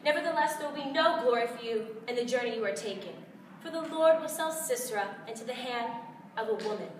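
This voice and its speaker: A woman playing a commanding ancient prophetess in a dramatized Bible recitation, proclaiming forcefully. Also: fairly high pitch, expressive, brisk, lightly acted. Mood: firm, solemn. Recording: roomy, distant mic, faint noise.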